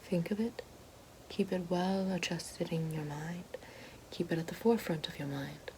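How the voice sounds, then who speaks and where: Woman doing an evil queen witch for asmr, kinda low soft whispery voice, slow n formal, menacing, noisy background. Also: close to an everyday voice. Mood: commanding, hushed. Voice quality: soft, breathy, often whispered. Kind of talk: ASMR fantasy roleplay.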